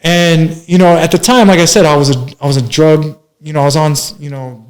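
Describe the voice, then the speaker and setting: A man's voice: on the high side, steady tone, brisk. Rambling man in his forties. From Minneapolis, USA. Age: 40s. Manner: rambling, many fillers and restarts. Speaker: guest, master barber. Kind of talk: casual life-story interview.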